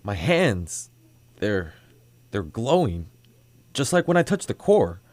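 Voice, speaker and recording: A man acting a reluctant superhero in a radio play, sincere and unsure of himself. His dramatic delivery swings widely in pitch, recorded close and dry. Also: big pitch swings, slow, a little mellow, dry recording. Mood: bewildered.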